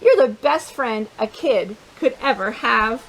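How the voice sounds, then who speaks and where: A woman voicing a cheerful, excited young boy in a children's storybook read-aloud. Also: on the high side, a little slow, slight background noise, low-quality recording. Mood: delighted. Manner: bright, eager and excited.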